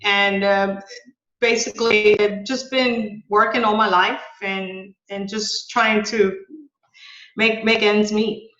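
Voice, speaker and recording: A woman from Ecuador, raised in the Bronx, talking haltingly about her tour business in an oral history interview. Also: mid-range voice, flat delivery, fairly bright tone. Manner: hesitant, restarts often. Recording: roomy, slightly dull audio.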